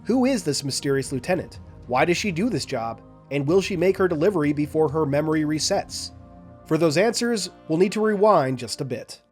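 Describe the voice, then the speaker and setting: A man's voice: fairly high pitch, lively intonation, fairly quick, darkish tone, faint music behind. Man narrating a scripted lore breakdown. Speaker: lore video host.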